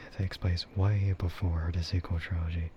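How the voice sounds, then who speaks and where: A man speaking softly in a breathy half-whisper, rambling casually and hesitantly like an ASMR streamer close to the mic. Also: sits low, level tone, moderate pace. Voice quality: soft, breathy, often whispered. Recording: muffled lo-fi stream audio, clear background noise.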